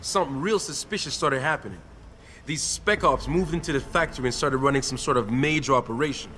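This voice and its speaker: A man's voice: mid-range voice, a little fast, bright, forceful tone, slight background noise, close to an everyday voice. An actor playing a laid-back young male gang dealer in a game, talking in easygoing street slang. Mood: casual. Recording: game dialogue with faint music.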